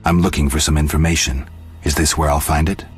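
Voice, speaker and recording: An actor playing a sardonic, terse monster hunter in a video game, with a dry, laconic delivery. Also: male voice, very deep voice, steady tone, fairly quick, husky, heavily acted. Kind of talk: video game character acting. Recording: loud music behind the voice.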